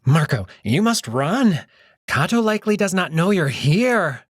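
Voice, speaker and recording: A man voicing a frightened male anthro companion who urges the hero to flee in hurried, pleading tones. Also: high-pitched, big pitch swings, no echo, studio quality, pitched above the performer's own voice, heavily acted. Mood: urgent. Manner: urgent, pleading whisper-shouts. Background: music.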